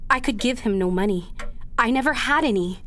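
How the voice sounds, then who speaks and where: A woman playing a dutiful, anguished young daughter in a period radio drama, speaking earnestly and haltingly in formal old-fashioned phrases. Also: fairly high pitch, animated, fairly bright tone, slight background noise. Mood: distraught.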